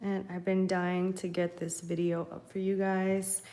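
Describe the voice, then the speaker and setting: A sniffly young woman, still getting over a cold, walks viewers through a craft step by step. She speaks slowly and chattily, talking to her audience as she works. Recorded on a phone held above her craft table at home. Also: on the low side, little pitch movement, dark tone. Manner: slow, chatty, talks to viewers while working. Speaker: craft channel host. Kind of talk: live craft tutorial, casual.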